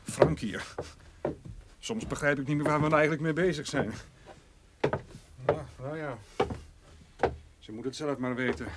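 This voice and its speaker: An actor playing an exasperated but soft-hearted farmer in a radio play, flustered and stammering over repeated words. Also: male voice, fairly high pitch, a little slow, long pauses, lightly acted. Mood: resigned. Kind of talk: Dutch radio play acting. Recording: noisy radio play recording.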